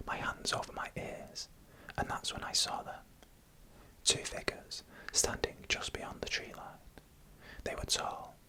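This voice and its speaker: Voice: pushed and bright, poor audio. Whispered ASMR storyteller, entirely unvoiced. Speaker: narrator.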